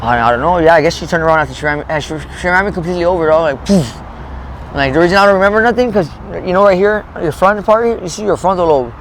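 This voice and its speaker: Fast-talking rambling man in his thirties. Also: high-pitched, a little hiss or hum, low-quality recording. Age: 30s. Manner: rambling, swears a lot, restarts often. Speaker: interviewee. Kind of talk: street interview, rambling personal story. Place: outdoors in a parking lot.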